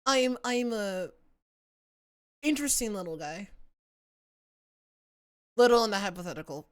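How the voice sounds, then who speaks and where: A woman's voice: mid-range voice, expressive, unhurried. A young adult woman with a Southern American accent mixed with internet influences, chatting casually as a livestreamer in a playful, giggly, self-mocking way from her home setup. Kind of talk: casual stream chat.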